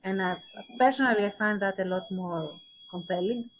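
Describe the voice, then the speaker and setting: Female podcast co-host, medium pitch, conversational, reflective pauses, emphatic on key words. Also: slight background noise, low-quality recording. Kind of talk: casual discussion.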